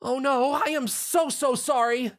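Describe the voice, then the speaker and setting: A man voicing an excitable, gushing young female pony in an animated storybook reading. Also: very high-pitched, unhurried, pitched above the performer's own voice. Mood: tearful apology. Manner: gushing, eager. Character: excitable, apologetic young female pony, giddy human enthusiast.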